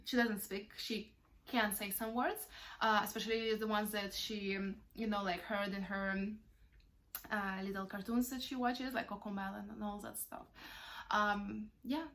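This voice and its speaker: Chatty young Ukrainian-born mom, slightly high voice. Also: female voice, a bit bright. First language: Russian. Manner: chatty, many ums and uhs, candid. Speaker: vlogger, mother. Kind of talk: casual Q&A while wrapping gifts.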